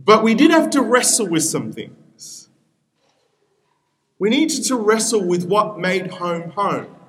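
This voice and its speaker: Young Peruvian-born pastor, Spanish native, slightly high voice, earnest sermon delivery. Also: male voice, very animated, a bit bright, slight background noise. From Peru. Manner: earnest, with repeated appeals and pauses.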